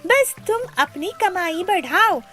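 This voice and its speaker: A woman voicing a young female cartoon bird who talks in a high, pleading voice. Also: animated, slow, bright, forceful tone, soft background music, full character voice. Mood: nagging.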